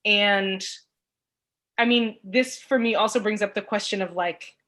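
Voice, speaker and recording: A woman's voice: medium pitch, measured pace, bright, forceful tone. Thoughtful woman in academic discussion. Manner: thoughtful, searching for words, frequent "like". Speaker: literature course panelist and teacher.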